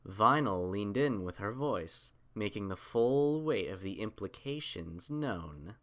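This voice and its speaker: A man's voice: lowish voice, animated, slow, fairly bright tone. A man reading scripted fiction aloud as the narrator of a story.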